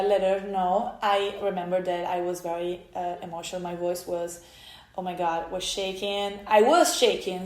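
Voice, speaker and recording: Slow, halting Italian woman in an echoey room. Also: medium pitch. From Italy. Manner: halting and emotional, with many restarts. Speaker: vlogger. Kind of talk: personal storytelling.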